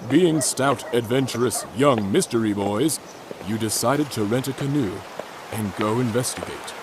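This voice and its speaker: A man playing a grave narrator of a boys' mystery adventure, theatrical, mock-serious and deliberate in his dramatic reading. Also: medium pitch, noisy background, close to an everyday voice. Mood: ominous, grandiose.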